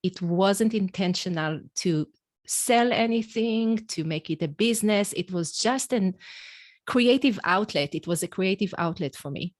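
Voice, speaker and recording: Israeli woman, hebrew first language, kinda low voice, no room echo, chatting candidly about herself like an interview guest. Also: clean studio sound. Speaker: podcast guest, executive coach and author. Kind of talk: conversational interview, personal storytelling.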